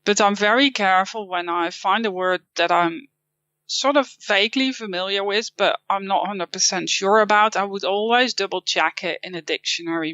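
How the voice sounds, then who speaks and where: Guest interview: woman, Dutch accent, bright and clear, dry close sound, slightly dull lo-fi audio. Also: mid-range voice, dry recording. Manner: thoughtful, with frequent restarts and light laughs. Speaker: guest, copywriting teacher. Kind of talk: casual interview chat.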